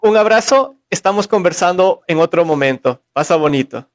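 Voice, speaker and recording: A man's voice: high voice, expressive, normal speed. A man hosting a live call-in stream, chatting casually and thanking his callers. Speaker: livestream host.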